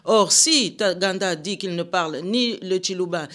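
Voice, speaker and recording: A woman's voice: on the low side, normal speed, fairly bright tone. An older woman, a guest answering radio interview questions in a firm, indignant, emphatic way from a studio. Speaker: political guest.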